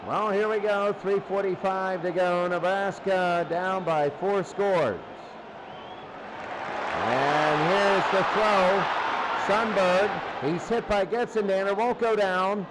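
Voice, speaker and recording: A man's voice: high voice, steady tone, takes it slowly. A man calling live football play-by-play as a sports announcer. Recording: loud crowd noise behind voice. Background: loud stadium crowd.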